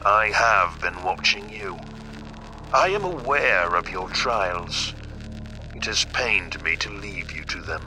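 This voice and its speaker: Grave, slow old patriarch on tape. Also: male voice, low voice, sharp tone, full character voice, poor audio. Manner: grave, formal, deliberate. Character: dead wealthy father, solemn, regretful older patriarch on tape. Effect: old tape recording. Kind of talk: slow scripted monologue, audio drama.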